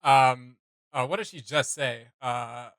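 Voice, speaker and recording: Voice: mid-range voice, slow, a bit bright, dry recording. A reaction video host giving casual, joking, informal commentary.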